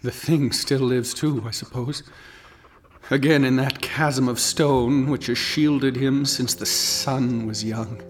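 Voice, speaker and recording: An actor playing a doom-haunted scholar, delivering a solemn, portentous radio drama monologue. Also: male voice, mid-range voice, steady tone, takes it slowly, slight background noise, natural voice. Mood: brooding dread. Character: doom-haunted scholar brooding on cosmic horror.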